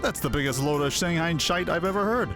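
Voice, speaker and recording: Guy doing a gruff boss of mercs in a fantasy game, scolding, fed up, music behind. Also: fairly high pitch, expressive, fairly quick, lightly acted. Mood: scornful. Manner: blunt, scolding. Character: gruff, scornful, no-nonsense mercenary chief. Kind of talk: voiced fantasy game dialogue. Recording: music under the voice.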